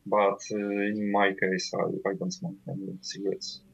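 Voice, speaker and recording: A man from Poland with a Polish accent, guest vocalist of a death metal band, speaking halting non-native English with many fillers over a call. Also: fairly low pitch, monotone, creaky, highs cut off.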